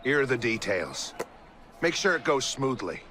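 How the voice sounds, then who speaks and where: A man's voice of middling pitch. an assured conspirator in a historical game, brisk as he hands out orders. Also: big pitch swings, sharp tone, slight background noise.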